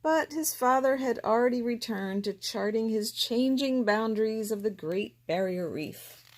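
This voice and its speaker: A woman reading a children's picture book aloud in a gentle, expressive way. She narrates slowly and gives each character a voice of its own. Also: a little high. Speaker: storybook reader.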